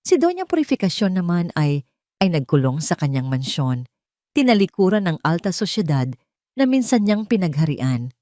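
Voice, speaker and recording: A woman with a Filipino accent narrating a dramatic scripted story in measured, emotive phrases with pauses. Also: lowish voice, very animated, dark tone, no echo, clean studio sound.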